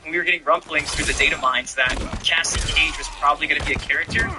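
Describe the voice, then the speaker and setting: A man's voice: a little high, steady tone, fast, over music. A man giving emphatic, conversational gaming commentary, sharing his opinions as he goes. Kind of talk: opinionated video commentary on fighting game roster news.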